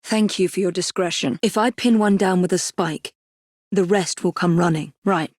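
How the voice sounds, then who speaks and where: A woman's voice: on the low side, moderate pace, darkish tone, no echo, close to an everyday voice. Dry, determined young English woman assassin played by an actress. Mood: composed, resolute. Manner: crisp, articulate, formal, dry. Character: determined, sharp-witted young female Victorian assassin, composed and dry. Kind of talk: video game voice acting.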